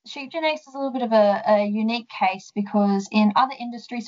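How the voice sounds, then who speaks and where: Young Australian woman guest, chatty and explanatory, medium pitch, a bit muffled. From central west New South Wales, Australia. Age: young adult. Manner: friendly, explanatory, with frequent ums. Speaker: guest, sheep genetics development officer. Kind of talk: casual interview answers.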